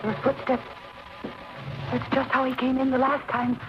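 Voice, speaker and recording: A woman's voice: sits high, completely flat delivery, normal speed, over music, lightly acted. A woman playing an anxious, earnest figure in a family mystery, pleading dramatically in old-time radio style. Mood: fearful. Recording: muffled, noisy 1940s radio recording.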